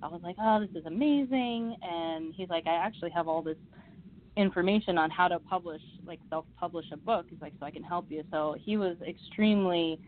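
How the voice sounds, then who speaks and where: Low-voiced young woman on a phone line. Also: brisk, slight background noise. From Midwest US. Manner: chatty, laughs often, many fillers and restarts. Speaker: author being interviewed. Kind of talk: casual interview chat. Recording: phone-like narrow sound.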